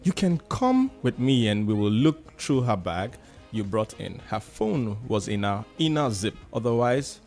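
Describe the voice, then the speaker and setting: A man playing a grave, reassuring clinic doctor in a radio drama, explaining things in a measured way with a Nigerian accent. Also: fairly low pitch, big pitch swings, a little mellow, faint music behind.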